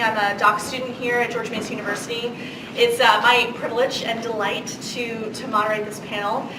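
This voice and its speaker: Female student moderator, friendly, raised pitch, loud background noise. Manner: friendly, filler-heavy. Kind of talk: moderating a panel. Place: conference room. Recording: echoey room, far mic, loud noise.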